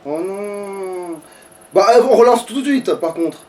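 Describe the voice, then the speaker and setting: A man's voice: high-pitched, lively intonation, unhurried, darkish tone. A man chatting casually and swearing in slang as he hosts a video game playthrough. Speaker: let's play host. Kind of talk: casual gaming commentary with a co-player.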